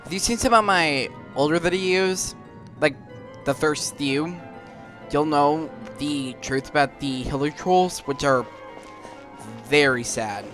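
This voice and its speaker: A man hosting a gaming video, explaining things informally in a halting, casual way as he plays. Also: on the high side, a bit bright. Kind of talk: casual gameplay commentary. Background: faint game music.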